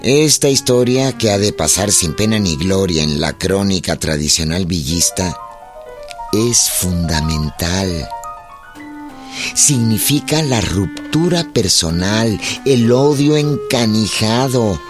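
A man's voice: on the low side, lively intonation, slow. A man narrating a scripted historical radio drama in a solemn, literary storytelling manner. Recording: music behind the voice.

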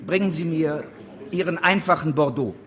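A man's voice: fairly high pitch, normal speed, fairly bright tone, natural voice. A man playing a proud, bitter, ambitious bankrupt speculator in a German radio drama, curt and commanding. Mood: brisk, demanding. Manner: curt, commanding, brooding in muttered asides. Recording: studio radio play with music behind.

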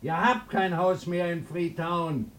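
A stern, officious mayor in a radio drama. His voice is strikingly high, and he hands down pompous pronouncements at a slow, cold pace. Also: fairly bright tone. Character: cold, officious town mayor turning away old friends. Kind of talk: German radio play acting.

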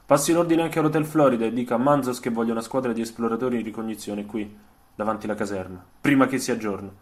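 A man playing a stern army lieutenant in a radio story, giving orders in a calm but hard, authoritative manner. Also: medium pitch, fast, close to an everyday voice. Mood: commanding.

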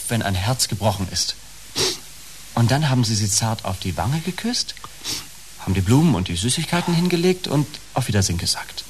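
A man's voice: lowish voice, a little hiss or hum. An actor playing a needy, emotionally fragile sixteen-year-old boy full of adoration, in a naturalistic radio play. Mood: tender reverence.

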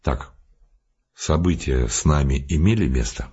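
An actor playing a blustering, hot-tempered and bossy scientist in a Russian audio drama, talking forcefully and insistently. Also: male voice, rumbling low, measured pace, breathy edge, muffled recording, heavily acted. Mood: sarcastic, pressing.

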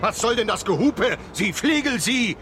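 An actor playing a self-important, easily offended lawyer in a radio play, pompous and blustering. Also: male voice, very high-pitched, animated, normal speed. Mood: outraged. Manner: indignant, pompous, blustering, stammers when cornered. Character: huffy, self-important, envious male lawyer without a car. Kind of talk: 1980s German radio play acting. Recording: street and traffic noise behind.

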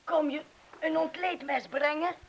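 An actress playing a reckless, teasing young party-goer in a radio drama, blunt and provocative, on a hissy old recording. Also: female voice, high-pitched, fairly even intonation, takes it slowly, creaky, crowd noise, exaggerated voice.

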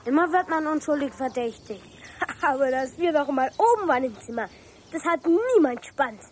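A woman's voice: high-pitched, lively intonation, normal speed. A woman voicing a young farm boy in a radio play, pleading. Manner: whiny pleading.

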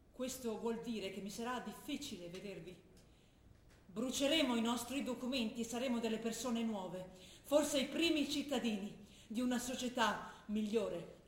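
A woman acting a young revolutionary who writes earnest letters to her father, speaking intensely and sometimes dropping to a hush, in a roomy stage recording. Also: a little high, slight background noise, natural voice. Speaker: stage actor. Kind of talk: theatre drama broadcast on radio.

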